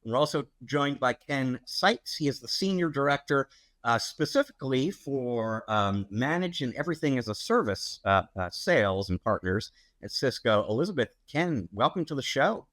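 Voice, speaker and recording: A man's voice: mid-range voice, expressive, normal speed, a bit bright, dry recording. A man co-hosting a podcast, introducing guests in a casual, upbeat way with frequent ums and uhs. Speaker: podcast co-host.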